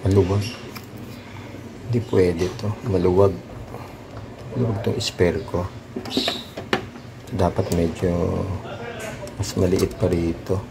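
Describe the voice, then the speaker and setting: A Filipino man giving a casual hands-on tutorial, thinking aloud in a halting way as he works. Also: sits low, flat delivery, vocal fry, background noise. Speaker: DIY repair vlogger.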